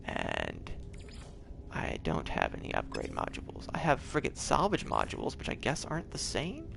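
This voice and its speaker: Man, game streamer, rambling casual commentary, lively pitch, noisy home mic. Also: moderate pace, gravelly. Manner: chatty, rambling, trips over words. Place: home, gaming on a TV.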